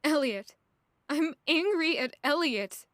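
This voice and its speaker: A woman's voice: sits high, lively intonation, takes it slowly, a bit bright, dry recording, studio quality, full character voice. A woman playing an earnest, wounded young noblewoman with an emotive delivery in an audio drama. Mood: bitter, angry.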